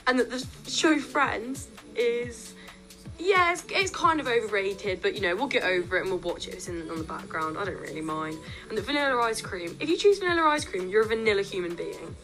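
A young woman, about twenty, hosting a student radio show in casual, jokey, opinionated chat, her voice a bit croaky. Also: medium pitch, expressive, a little fast, fairly bright tone, soft background music. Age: young adult.

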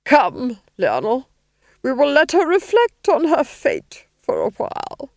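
A female reader as an evil Duchess, imperious, hissing, rasping, slow and drawn-out, raised pitch, storybook villain. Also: wildly swooping pitch, darkish tone, no echo, clean studio sound, exaggerated voice. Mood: menacing, commanding. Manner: drawn-out, threatening delivery. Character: menacing, imperious big red-faced villainous Duchess.

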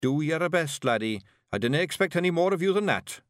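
Man doing a high, forceful tiny Scottish clan chief. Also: lively intonation, brisk, dry recording, studio quality, lightly acted. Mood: encouraging. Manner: forceful, urgent, plain-spoken. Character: tiny gruff male Feegle leader, commanding, fiercely protective.

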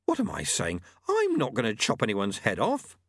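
A man's voice: lowish voice, wildly swooping pitch, moderate pace, a bit bright, no echo, clean studio sound, exaggerated voice. A man voicing an earnest, bewildered young male apprentice in an audiobook, questioning and incredulous. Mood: indignant.

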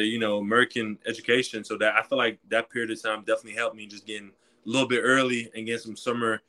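Young man, bright tone, lowish pitch, casual interview guest. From Quebec, Canada. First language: French. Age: 20s. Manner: relaxed, many fillers like "you know". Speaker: guest, college football player.

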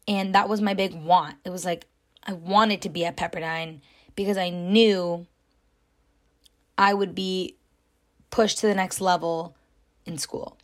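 A young woman with a raspy, somewhat deep voice hosting her own podcast, talking loudly and rambling with lots of fillers. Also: steady tone, measured pace, pauses often. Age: young adult. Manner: chatty, loud, rambling with many fillers. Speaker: podcast host. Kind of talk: casual solo podcast talk.

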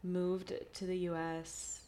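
A woman's voice: lowish voice, flat delivery, slow pace, warm tone, slight background noise. A woman hosting a podcast, chatting casually with plenty of "like" and "um.". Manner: chatty, upbeat, lots of likes and ums. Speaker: podcast host.

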